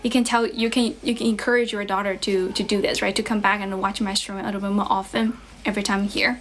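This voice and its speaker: A woman's voice: medium pitch, flat delivery, fast, fairly bright tone, slight background noise. A young woman from China who speaks English with a Chinese accent tinged with American, chatting casually and encouragingly to viewers as an English teacher on a livestream, often repeating phrases. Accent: Chinese, with American influence. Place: relative's house at home.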